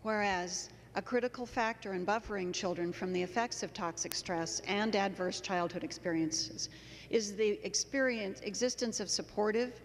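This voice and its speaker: Woman lawmaker in a noisy, echoing hall. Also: medium pitch. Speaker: state legislator. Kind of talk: formal reading of a resolution. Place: large indoor legislative chamber. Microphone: handheld floor microphone. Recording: reverberant chamber with clear room noise.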